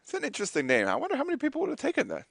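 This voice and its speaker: A man from Texas with a Texan accent, co-commentating a fighting-game tournament in relaxed, chatty banter. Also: very high voice, rapid-fire, vocal fry, no room sound, clean studio sound. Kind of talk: live esports commentary.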